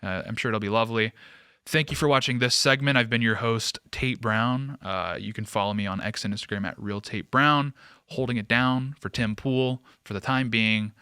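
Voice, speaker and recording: A man's voice: medium pitch, moderate pace, sharp tone, smooth, dry recording, clean studio sound. A man hosting a political commentary show, talking casually and off the cuff in a sarcastic, mocking way.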